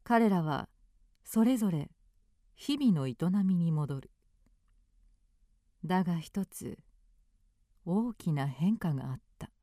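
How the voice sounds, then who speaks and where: Slow, mellow woman narrator. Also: lowish voice, expressive, no room sound, clean studio sound. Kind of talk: scripted radio drama narration.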